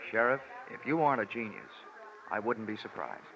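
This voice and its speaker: An actor playing a sly, hard-boiled American insurance investigator with a sardonic, glib delivery in a 1940s radio drama. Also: male voice, fairly low pitch, a little fast, natural voice. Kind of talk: 1940s radio acting and narration. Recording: noisy muffled old radio recording.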